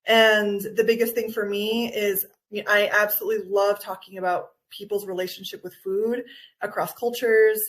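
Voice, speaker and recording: A woman's voice: medium pitch, level tone, normal speed. A woman from Guatemala with an American accent, giving conversational interview answers as a wellness coach, enthusiastic and explanatory, often correcting herself. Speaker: nutritional anthropologist and wellness coach, podcast guest.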